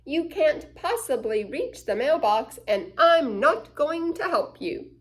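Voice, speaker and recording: A woman's voice: high-pitched, expressive, takes it slowly, pitched above the performer's own voice. A woman voicing a bossy, scornful young girl from a children's story, scolding in a know-it-all way. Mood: teasing.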